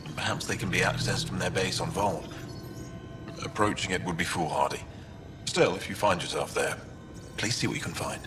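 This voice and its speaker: A man's voice: low voice, moderate pace, background noise, close to an everyday voice. An actor playing a learned, courteous male alien chronicler in a video game, speaking formally and eloquently. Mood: courteous, grave.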